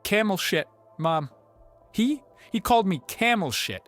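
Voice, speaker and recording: Male narrator as resentful, awkward man for audiobook drama; sardonic, very lively intonation, faint music. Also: high-pitched, a little slow, pitched above the performer's own voice. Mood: indignant, hurt. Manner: indignant, sardonic. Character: bitter, awkward overweight immigrant man, resentful, nursing old grudges.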